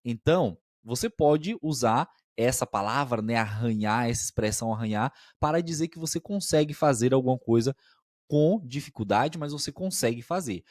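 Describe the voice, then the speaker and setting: A man of average pitch explains expressions to learners, patient and methodical, often pausing to check that they follow. His voice sits close to the mic in a dry, dead room with no echo. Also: expressive, darkish tone. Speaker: podcast co-host and language teacher.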